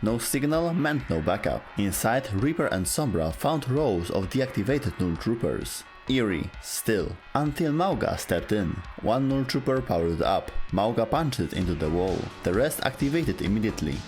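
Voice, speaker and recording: A man with a low voice delivers scripted lore narration. His storytelling is dramatic and clipped, with very lively intonation over faint background music. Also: moderate pace, few pauses.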